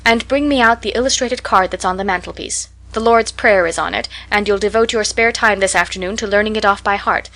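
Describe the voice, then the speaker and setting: A woman voicing a strict, disapproving middle-aged spinster guardian in an audiobook, brisk and immovable. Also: medium pitch, fairly bright tone, natural voice. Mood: stern. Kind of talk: audiobook character voice.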